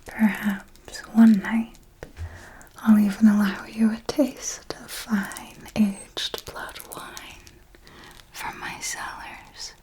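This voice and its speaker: Woman as a slow whispering female vampire mentor. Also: mid-range voice, little pitch movement, rough recording. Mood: instructive, seductive. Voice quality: breathy, unvoiced whisper. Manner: slow, deliberate, coaxing and instructive. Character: possessive, commanding female vampire mentor to a fledgling. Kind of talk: whispered ASMR roleplay.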